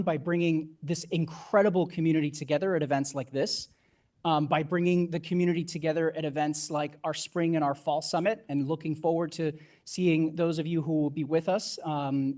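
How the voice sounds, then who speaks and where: Man, somewhat high pitch, event host, welcome speech, earnest, deliberate public speaking. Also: steady tone, darkish tone. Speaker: event host introducing the board chair. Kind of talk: welcome address to a live audience.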